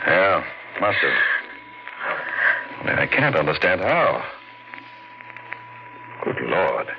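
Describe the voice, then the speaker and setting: A man's voice: low-pitched, very animated, slow pace, vocal fry, exaggerated voice. A man playing a grave policeman in a 1940s radio thriller. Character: grave slow-talking policeman at a crime scene. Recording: noisy, muffled 1940s radio recording.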